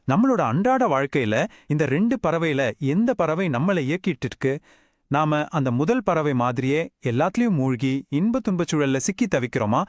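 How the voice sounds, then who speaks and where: Man, reflective questioning narration, slightly high, lively pitch, dry. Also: no echo. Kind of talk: scripted explanatory narration.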